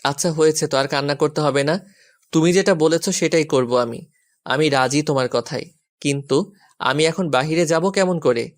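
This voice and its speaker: A man's voice: on the high side, normal speed, natural voice. A man playing a flustered, exasperated young husband who pleads and gives in, in a romantic audio story. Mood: pleading, resigned.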